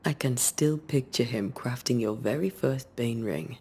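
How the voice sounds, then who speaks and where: An actress playing a wistful, wryly teasing veteran ghost hunter in a video game, with a measured, reflective delivery. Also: female voice, a bass voice, gravelly, warm tone, a little hiss or hum, heavily acted. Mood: fond, teasing. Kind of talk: cinematic game dialogue.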